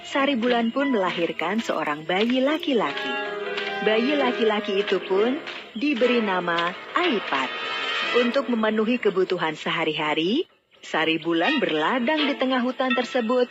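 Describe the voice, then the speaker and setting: Woman, fairy tale narrator, medium pitch, dull lo-fi audio, background music. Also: lively intonation, normal speed. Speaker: storyteller.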